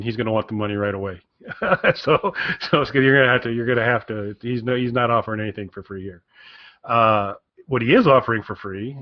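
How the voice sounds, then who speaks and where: A man co-hosting a podcast, giving a casual recommendation in a rambling, halting way and often repeating his phrases. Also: fairly low pitch, dry recording, muffled recording. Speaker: podcast co-host.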